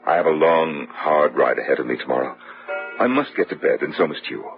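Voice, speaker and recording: An actor playing a sensible, weary companion in an old-time radio horror drama, with a firm, reasoning manner. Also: male voice, rumbling low, animated, a little fast, soft background music. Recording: muffled, noisy old radio recording.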